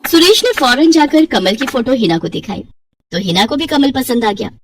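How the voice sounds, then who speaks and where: A woman's voice: sits high, animated, moderate pace, far from the mic, noisy background. A woman narrating a scripted moral story as a storyteller.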